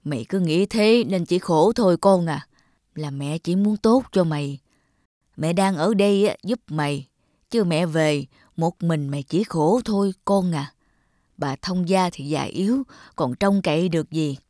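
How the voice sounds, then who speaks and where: A woman's voice: on the low side, measured pace, no echo, studio quality, close to an everyday voice. Woman playing a stern, nagging cartoon grandmother. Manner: scolding, blunt, fretful. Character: stern, nagging elderly grandmother and mother-in-law. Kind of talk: cartoon voice acting. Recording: loud background music.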